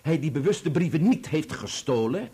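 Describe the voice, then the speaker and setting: Radio drama barrister: male, formal, pointed cross-examiner, raspy tone, wide pitch swings. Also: sing-song, moderate pace, dark tone. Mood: pointed, insistent. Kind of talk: Dutch radio play acting.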